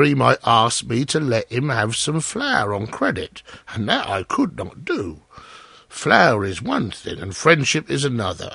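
Sanctimonious miller, read by a man: condescending storybook hypocrite, husky voice, dry close sound. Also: medium pitch, bright, forceful tone, dry recording, heavily acted. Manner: pompous, moralizing, condescending. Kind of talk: storybook character voice.

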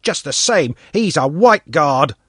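A man's voice: sits high, sing-song, a little slow, fairly bright tone, no echo, clean studio sound, voice pushed up, heavily acted. A man voicing a stubborn, cocky village boy who tells his story doggedly in a raised voice. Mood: dogged telling.

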